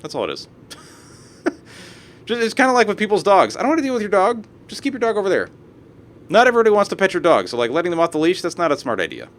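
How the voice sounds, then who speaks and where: Profane ranting man with lively pitch. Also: fast talker, long pauses. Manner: profane, ranting, reads posts aloud with asides. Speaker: streamer. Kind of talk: live stream commentary on reader posts.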